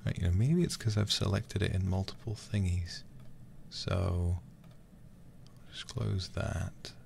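A man streaming a horror game in a soft, intimate ASMR style close to the microphone, muttering and sometimes whispering. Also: low voice, fairly even intonation, slow pace, gravelly, a little hiss or hum. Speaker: livestreamer playing a horror game.

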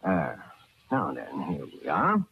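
Fussy, husky older gentleman, old radio. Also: extremely low pitch, huge pitch swings, takes it slowly, dry recording, exaggerated voice. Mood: fussy, affable. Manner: dithering, hemming, repeats his phrases. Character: fussy, falsely kindly, sinister older male employer. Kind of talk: 1940s old-time radio drama acting. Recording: muffled, tinny old radio recording.

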